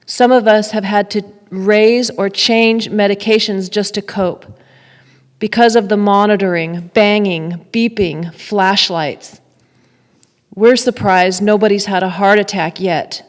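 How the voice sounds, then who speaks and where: A middle-aged woman giving a solemn, deliberate dramatic reading of testimony at a lectern. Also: mid-range voice, steady tone. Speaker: readers theater performer. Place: temple sanctuary at a lectern. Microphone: boom mic on a stand at lectern. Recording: echoey hall with long reverb.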